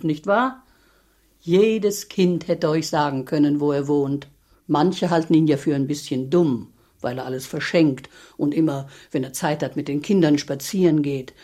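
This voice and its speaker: A plain-spoken village landlady in a radio play. She has a very low, mellow voice and speaks up firmly and protectively, in a homely way. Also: breathy edge. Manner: homely, emphatic.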